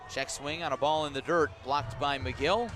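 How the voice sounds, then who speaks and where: A man doing live radio play-by-play of a baseball game, descriptive and unhurried between pitches and punchier on the action. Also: fairly even intonation. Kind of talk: live radio sports commentary.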